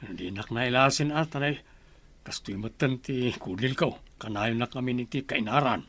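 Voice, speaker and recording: A man's voice: mid-range voice, moderate pace, a bit bright. A man playing a kindly, joking middle-aged village uncle in an Ilocano radio drama, speaking in a folksy, conversational way. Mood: teasing. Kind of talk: Filipino radio comedy-drama acting.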